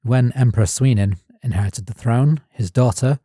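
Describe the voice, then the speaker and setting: A man co-hosting a podcast, reading his prepared notes as measured narration. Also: mid-range voice, mellow, husky, dry recording, studio quality. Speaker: podcast co-host. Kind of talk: scripted narration.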